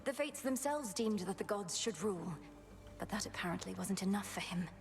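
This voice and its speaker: A woman playing a resolute young goddess princess in a video game, speaking in a formal, measured, determined way. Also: medium pitch, expressive, vocal fry. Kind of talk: dramatic video game acting.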